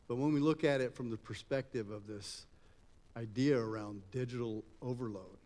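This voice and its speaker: A man's voice: medium pitch, darkish tone. A middle-aged man from Germany with an American-tinged accent, giving an informal motivational keynote in a conversational way. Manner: conversational, addresses audience with rhetorical questions. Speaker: conference speaker. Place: event hall at a conference campus. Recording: echoey hall, long reverb.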